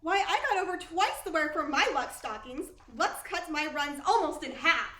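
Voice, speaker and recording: A woman's voice: high voice, expressive, normal speed, pushed and bright. A woman playing a chirpy young satisfied customer in a 1940s radio commercial. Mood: delighted. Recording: echoey room.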